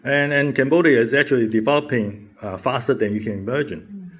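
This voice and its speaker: A man presenting his mission work, speaking earnestly with many pauses and fillers. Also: mid-range voice, a bit bright, husky.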